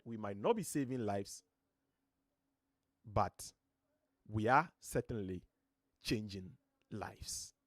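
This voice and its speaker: Earnest Ghanaian man, deep voice. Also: no echo, studio quality. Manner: earnest, motivational, emphatic rises. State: voice slightly strained. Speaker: host. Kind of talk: live-stream talk. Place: home studio.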